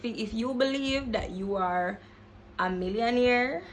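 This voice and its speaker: A woman's voice: a little high, measured pace, slight background noise. A young woman in her twenties talking casually and emphatically to her viewers about self-belief, repeating herself and saying "literally" and "like" often. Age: young adult. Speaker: self-improvement vlogger. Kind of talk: casual motivational talk to camera.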